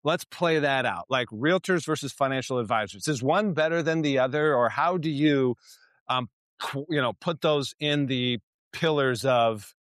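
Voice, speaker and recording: A man's voice: medium pitch, normal speed, a bit bright, no echo, studio quality. Casual male podcast host. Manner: casual, loose phrasing with fillers. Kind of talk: casual interview chat.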